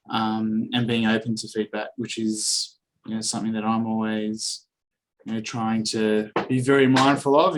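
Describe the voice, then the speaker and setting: A financial advisor on a video call, speaking reflectively with many fillers. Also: male voice, mid-range voice, background noise. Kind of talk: casual video-call feedback.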